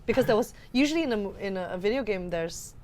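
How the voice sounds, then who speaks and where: A woman co-hosting a podcast, chatting casually about films and games in an animated, exclamatory way. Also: mid-range voice, quick pace, slight background noise. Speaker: podcast co-host.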